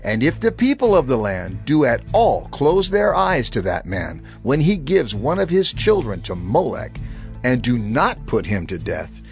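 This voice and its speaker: God laying down the law. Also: male voice, mid-range voice, sing-song, a little slow, faint music behind. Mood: stern. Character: God giving laws, measured and commanding. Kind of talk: dramatized scripture reading.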